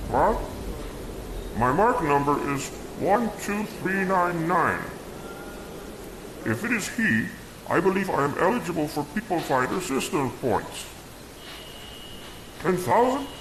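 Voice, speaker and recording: A man's voice: on the high side, echoey room, background noise, voice pushed up, exaggerated voice. A man voicing a young male informer in a gray uniform, eager and wheedling as he reports someone.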